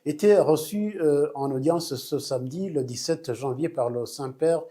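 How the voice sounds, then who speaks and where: A man's voice: a little high, unhurried, dark tone. A man presenting a news bulletin, reading formally with a few hesitant fillers.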